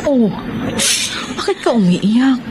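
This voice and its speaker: A woman's voice: on the high side, sing-song, takes it slowly, noisy background. A woman acting a sick, tender mother who reassures her children in a Filipino radio drama. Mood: tender, moved.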